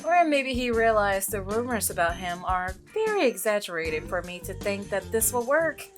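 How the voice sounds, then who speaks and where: A woman hosting a visual novel playthrough, reading aloud and commenting in a playful, expressive manner. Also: medium pitch, normal speed. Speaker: gaming video host. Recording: music behind the voice.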